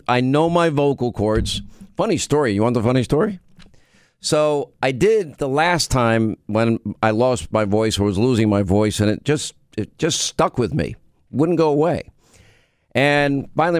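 Rambling middle-aged radio host, strained voice. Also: male voice, mid-range voice, no echo, clean studio sound. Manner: rambling, storytelling, self-interrupting. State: voice going in and out. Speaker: radio talk show host. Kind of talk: conversational radio monologue.

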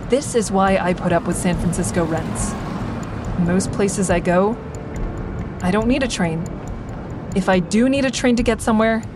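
A woman's voice: medium pitch, close to an everyday voice. A woman playing a sardonic, anxious insomniac, confiding dryly as she narrates a first-person audio drama. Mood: matter-of-fact, confiding. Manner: wry, confiding, dry.

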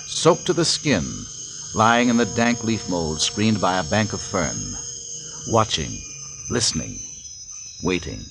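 A man narrating a 1940s radio adventure in a dramatic, measured way. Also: low voice, slight background noise. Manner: dramatic, slowing to hushed tones.

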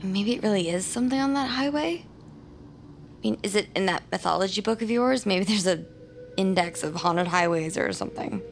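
A woman's voice: medium pitch, moderate pace, creaky, soft background music, lightly acted. An actress playing a practical, guarded woman helping investigate the occult in an audio drama. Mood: practical, sceptical.

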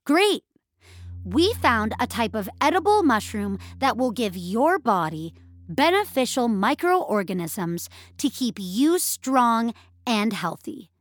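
A woman's voice: fairly high pitch, sing-song, slow pace, no room sound, studio quality, full character voice. A woman voicing an upbeat, eager fitness app assistant in an audio drama. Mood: perky, eager. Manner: perky, eager. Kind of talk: audio fiction acting.

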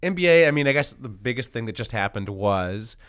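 A man's voice: mid-range voice, animated, fairly quick, a bit bright. A man hosting a podcast in a casual, joking style. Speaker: podcast host. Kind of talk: casual banter and comedic dramatic reading.

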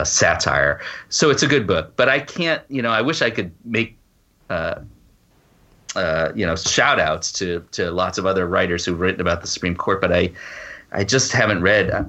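A man being interviewed as a guest author, explaining things casually and haltingly, with informal asides. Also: sits low, bright, forceful tone. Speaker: podcast guest, law professor and author.

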